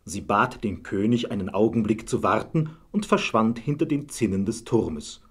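A man narrating a scripted fairy tale as a measured storyteller. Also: fairly low pitch, lively intonation, husky.

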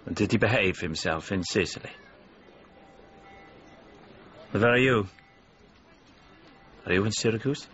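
Low, knowing, worldly actor as a cynical man. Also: moderate pace, a bit bright, slight background noise, close to an everyday voice. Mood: probing. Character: worldly, cynical man who knows the painter's secrets. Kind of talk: naturalistic radio drama dialogue. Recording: slightly dull, faint background ambience.

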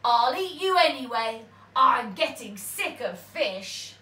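Grumpy hungry storybook troll, blustery and slow, lady reading it out. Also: fairly high pitch, very animated, pushed and bright, roomy sound, far from the mic, heavily acted. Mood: grumbling. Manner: grumbling, blustering. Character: grumpy hungry male troll under a bridge.

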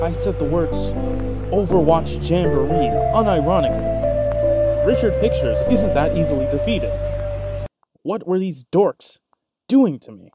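Brooding mock-dramatic male narrator. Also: a little high. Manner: overwrought, brooding.

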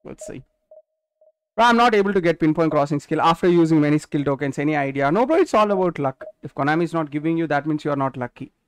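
A man's voice: on the high side, animated, quick pace, clean tone, no echo. A nineteen-year-old man with an Indian English accent, casually chatting with viewers while streaming a football game and reading their messages aloud. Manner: chatty, answers chat questions directly. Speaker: gaming streamer.

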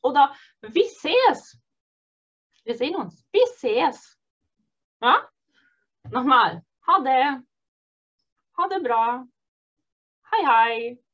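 A woman teaching an online beginner Norwegian course, cheerful and encouraging, modelling phrases slowly and clearly. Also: sits high, very animated, a bit bright, dull audio. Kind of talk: online beginner language lesson.

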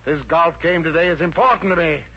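A man's voice: a little high, brisk, bright, forceful tone, husky, heavily acted. An American actor playing a short-tempered, blustering businessman obsessed with golf in a 1950s radio comedy. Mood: irritated, fussy. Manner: blustering, stammering when flustered. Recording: muffled, noisy old radio recording.

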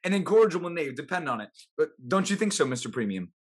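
Man acting high, husky, mocking young gentleman. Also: sing-song, fast talker. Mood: mocking, breezy. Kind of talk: period comedy stage acting over video call. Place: indoors at home, video call.

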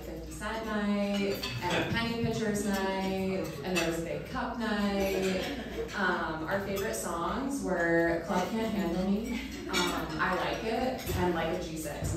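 A woman in her thirties giving a chatty, emotional bridesmaid toast at a standing mic, with many ums and bursts of laughter. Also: on the low side. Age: 30s. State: emotional, near tears. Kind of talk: wedding toast read from a phone. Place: small indoor reception room. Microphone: standing mic, filmed from across the room. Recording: echoey room from a distant camera, noisy crowd.

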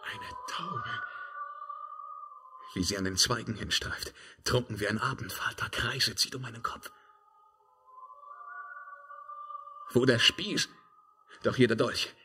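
An actor in a 1950s German radio verse drama playing a tormented, solemn Byzantine emperor, declaiming with halting grandeur and anguish. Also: male voice, medium pitch, sharp tone, soft background music. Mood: agitated, desperate.

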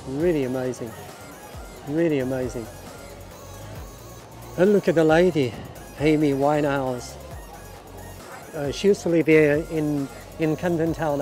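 Enthusiastic middle-aged man, higher-pitched voice. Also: mellow. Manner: enthusiastic, exclaiming, often repeating phrases. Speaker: vlogger and walking tour guide. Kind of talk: casual walking tour commentary. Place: busy outdoor street market. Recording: outdoor crowd noise, background music. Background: music and crowd chatter.

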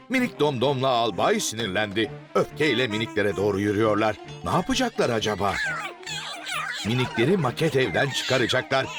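A narrator telling a children's cartoon, with many exclamations and quick jumps into the characters' lines. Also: mid-range voice, expressive. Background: cartoon music.

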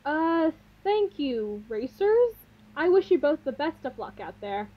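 A woman plays a cheerful race co-commentator in a radio comedy. Her announcer voice is high and lively, on a dull, noisy recording. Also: a little slow. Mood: composed. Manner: animated announcer delivery. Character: excitable female sports co-commentator, cheerful, deadpan quips. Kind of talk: mock sports commentary in radio play.

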